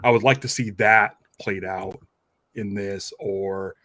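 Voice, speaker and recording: A man's voice: lowish voice, steady tone, slow, a bit bright, no room sound. Man co-hosting casually, dry close sound. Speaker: podcast co-host. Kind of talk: casual film-fan podcast chat.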